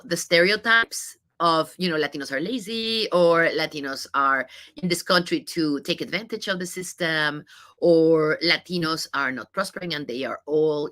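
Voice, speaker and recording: Bright-voiced woman with a Mexican accent. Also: low-pitched. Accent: Mexican Spanish. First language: Spanish. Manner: emphatic, repeats key points, frequent "you know" and "right?". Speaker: think tank CEO speaker. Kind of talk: informal presentation with data.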